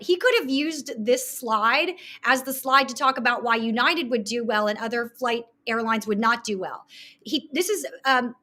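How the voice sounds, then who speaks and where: A woman's voice: fairly high pitch, pushed and bright. A woman giving an informal, opinionated analysis of markets as an investor, speaking emphatically as she talks through her slides.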